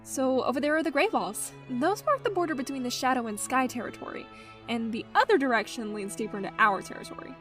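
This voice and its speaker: A woman as a high, bubbly young cartoon animal. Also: fast talker, a bit bright, soft background music. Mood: bubbly, eager. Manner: perky, chatty. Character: bubbly, friendly, eager young female animal.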